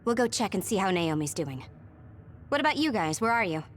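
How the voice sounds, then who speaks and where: A woman's voice: medium pitch, big pitch swings, a little fast, slight background noise, lightly acted. An actor playing a composed, matter-of-fact young woman, a comrade in arms in a video game. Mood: brisk. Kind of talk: English video game voice acting.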